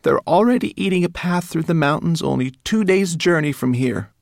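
A man voicing a small female rat in a storybook, shrill, sarcastic and scolding. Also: animated, normal speed, creaky, no echo, studio quality. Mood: alarmed, urgent. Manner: emphatic, scolding.

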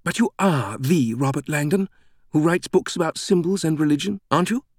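A man's voice: on the high side, normal speed, dry recording, studio quality, voice pushed up. A man voicing an exuberant, chatty middle-aged British woman with a sing-song, gushing delivery in an audiobook. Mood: sheepish, eager.

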